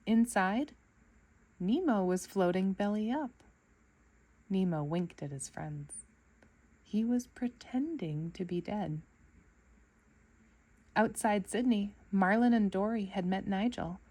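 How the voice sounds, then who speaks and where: A woman storyteller reading a children's book aloud in an expressive narrating style for kids. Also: medium pitch, slow pace, long pauses.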